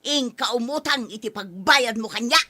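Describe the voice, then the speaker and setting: A woman's voice: a little high, moderate pace, pushed and bright. An actress with an Ilocano accent playing an emotional, demanding village woman in an audio drama. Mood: angry, demanding. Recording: music under the voice.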